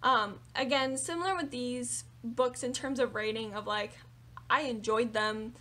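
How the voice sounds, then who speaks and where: A young woman book vlogger chatting casually and animatedly about her reading, her voice a little raspy from singing. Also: on the high side, measured pace. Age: young adult. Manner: animated, chatty, lots of fillers and hand gestures. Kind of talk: casual monthly reading wrap-up. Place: small room with bookshelves indoors.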